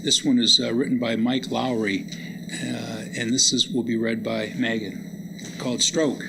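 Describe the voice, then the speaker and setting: A man giving a public lecture, introducing poems and readers in a halting, reflective manner. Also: mid-range voice, level tone, vocal fry. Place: indoor public lecture event. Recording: noisy live lecture room recording.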